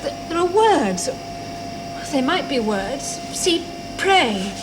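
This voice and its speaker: An anxious young scientist with a high, lively voice. She is earnest and hesitant, in the style of a 1970s television play. The soundtrack is plainly noisy. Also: slow, exaggerated voice. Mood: uneasy, amazed. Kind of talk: 1970s British television drama acting.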